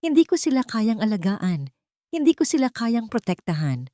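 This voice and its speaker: A woman's voice: a little high, big pitch swings, normal speed, dark tone, dry recording, voice pushed up. A cowardly Filipino man full of remorse, played by a woman narrator in a romantic audio story. He confesses with bitter resignation. The recording is dry and close. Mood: remorseful resignation. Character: cowardly, remorseful young man giving up fatherhood.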